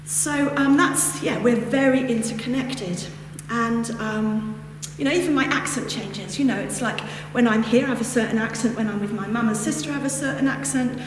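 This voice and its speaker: A woman's voice: on the high side, moderate pace. A woman with a northern English accent gives a reflective Buddhist teaching, meandering through casual asides. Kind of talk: dharma talk to a group. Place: hall with a lectern. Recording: echoey hall, distant mic, background noise.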